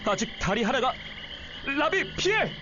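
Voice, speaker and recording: A man voicing a gentle, responsible young teacher and protector in an anime-style game story, earnest and reassuring. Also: very high voice, big pitch swings, a little slow, heavy background noise, heavily acted. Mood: desperate. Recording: dull game audio under loud music.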